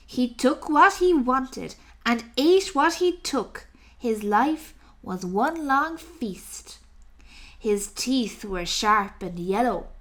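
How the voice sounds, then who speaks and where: A woman narrating a children's story aloud with expressive, deliberate delivery. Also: on the high side.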